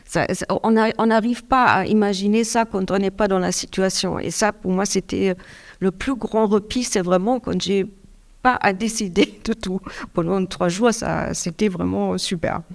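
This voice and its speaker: A middle-aged woman from Germany speaking French with a German accent, giving a hesitant, halting caregiver's testimony with many restarts. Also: mid-range voice, fairly even intonation, gravelly. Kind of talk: public testimony interview.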